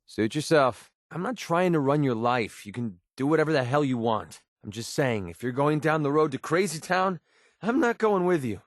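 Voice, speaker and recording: An actor playing a cynical young mercenary sniper in a video game, with an American accent and a casual, sarcastic, streetwise way of talking. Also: male voice, on the high side, animated, moderate pace, dry recording, clean studio sound, close to an everyday voice. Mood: sarcastic, irritated. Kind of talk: video game character dialogue.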